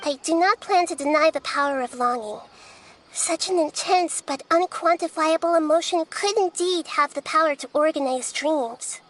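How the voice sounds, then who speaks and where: A woman voicing a small, calm, wise child-like goddess in a video game, speaking in measured, articulate phrases. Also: sits high, a little hiss or hum, natural voice, rough recording. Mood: thoughtful. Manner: measured, articulate, formal wording. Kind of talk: video game character voice acting.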